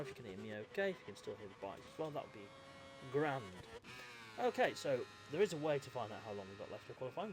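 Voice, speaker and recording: A man doing live commentary on a motorcycle esports race, a little croaky from a chest infection, chatty with self-mocking asides. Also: medium pitch, noisy background. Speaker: race commentator and event host.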